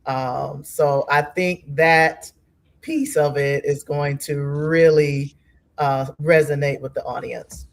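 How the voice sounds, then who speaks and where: Slow, hesitant woman. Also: low-pitched, fairly even intonation, vocal fry. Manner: hesitant, searching for words. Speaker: actress, interview guest. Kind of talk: thoughtful interview answer.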